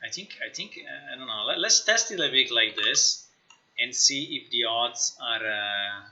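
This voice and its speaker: Sharp-voiced man chatting on a livestream. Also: mid-range voice, unhurried, dull audio. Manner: informal, excitable, repeats words and phrases. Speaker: live streamer, game developer. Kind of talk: casual livestream chat, thinking aloud while working.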